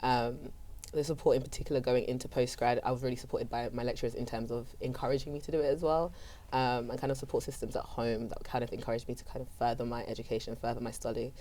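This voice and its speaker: A woman's voice: a bass voice, steady tone, quick pace, warm tone. A young woman from London, a postgraduate student answering panel questions in a chatty way, often saying "kind of" and "like".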